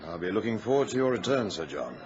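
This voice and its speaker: A courteous archaeological colleague in a radio drama. His voice is fairly low and moves around a lot. The muffled recording has clear noise behind it. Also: very animated, quick pace, crowd noise. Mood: cordial. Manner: courteous, formal. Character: polite male colleague at an Egyptian dig, respectful. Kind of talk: period radio drama acting.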